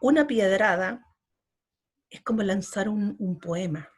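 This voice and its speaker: A middle-aged woman from Chile speaks slowly and earnestly as a poet answering interview questions. She often circles back and repeats words as she gathers her thoughts. Her voice has a breathy, husky edge and sits at an ordinary pitch. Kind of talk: reflective interview talk.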